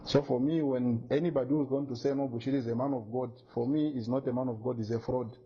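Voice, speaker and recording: Man, husky low-toned voice, insistent and aggrieved, muffled lo-fi audio. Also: steady tone, quick pace. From Zambia. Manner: indignant, insistent, repeats points. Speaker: businessman making a complaint. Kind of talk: emphatic accusatory statement to media. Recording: dull lo-fi recording.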